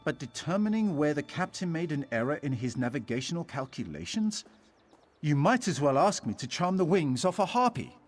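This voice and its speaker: A man's voice: a little high, big pitch swings, a little fast, soft background music. A man playing a haughty, aggrieved scholar and artificer in a video game, wordy and indignant. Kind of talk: video game character dialogue.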